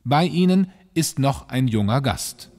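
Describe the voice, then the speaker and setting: A man's voice: medium pitch, animated, a little slow, darkish tone, a little hiss or hum. A man delivering scripted dramatic narration for an audio drama. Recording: long reverb, music under voice.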